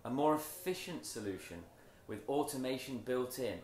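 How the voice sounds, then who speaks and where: A man giving a rehearsed product launch presentation in measured, emphatic short phrases with deliberate pauses, as a company presenter. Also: mid-range voice, animated, darkish tone, slight background noise. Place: stage in a large event tent with audience. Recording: roomy echo from event PA.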